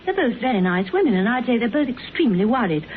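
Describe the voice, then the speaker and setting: An actress playing a pert, self-assured, playfully sarcastic detective's colleague in a classic radio drama. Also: female voice, on the high side, big pitch swings, fast talker. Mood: matter-of-fact, dismissive. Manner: pert, arch, playfully theatrical. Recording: noisy, narrow old radio recording.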